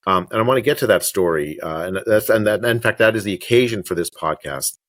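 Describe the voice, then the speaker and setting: A man's voice: lowish voice, animated, a bit bright, no echo. A man in his fifties hosting a podcast interview over a video call from a home study, talking with frequent restarts and fillers. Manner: halting, many restarts and fillers. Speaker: podcast host. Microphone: webcam on video call.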